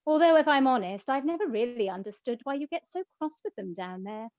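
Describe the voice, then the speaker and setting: A woman playing a teasing, theatrical goddess of love in a comic radio play, with mocking, drawn-out emphasis. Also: sits high, lively intonation, no echo, lo-fi sound.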